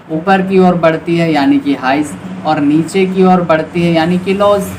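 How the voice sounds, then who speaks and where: A man's voice: on the high side, darkish tone. A man with an Indian accent giving an informal, explanatory beginners' lesson as a trading tutor, often checking in with short confirmations. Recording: clearly noisy recording with background ambience.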